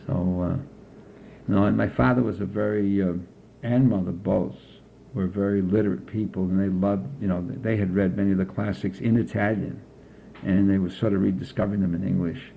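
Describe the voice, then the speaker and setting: Older male interviewee, gravelly low voice, unhurried reminiscing, tinny lo-fi recording. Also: fairly even intonation, slight background noise. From United States. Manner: slow, measured recollection with frequent pauses. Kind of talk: oral history interview.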